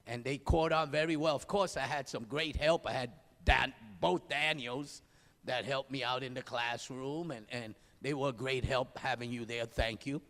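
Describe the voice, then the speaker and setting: A man with a Spanish accent, an auctioneering instructor giving a lively, joking graduation speech and calling out names. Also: medium pitch, normal speed. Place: large hall. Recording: live hall, long reverb.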